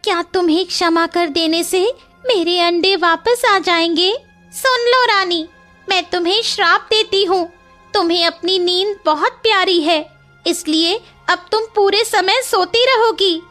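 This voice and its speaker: A tiny female cartoon bird, speaks in a very high, piercing register. She is motherly and anxious, with an emphatic delivery that turns to scolding quickly. Music plays faintly behind her. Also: bright, forceful tone, exaggerated voice. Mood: furious, accusing.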